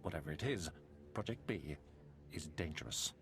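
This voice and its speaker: A man playing a grave middle-aged nobleman and devoted father in a video game, speaking in a solemn, formal, measured way. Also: very deep voice, expressive, creaky, faint music behind, heavily acted. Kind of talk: video game cutscene acting.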